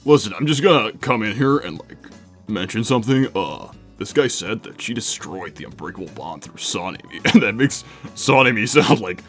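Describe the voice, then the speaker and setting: A man's voice: on the low side, expressive, normal speed. A man voicing a snarky, casual animal character in a cartoon fan dub. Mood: mocking, amused. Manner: sardonic, informal. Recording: background music under voice.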